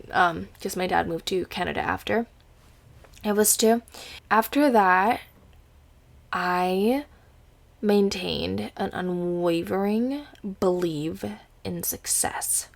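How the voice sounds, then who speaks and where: This is a young woman in her twenties with an Indonesian accent, speaking English as her second language. She rambles and giggles through a casual podcast chat, stops and restarts often, and sounds teary after crying. Her voice sits a little low. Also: vocal fry. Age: 20s. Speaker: podcast host.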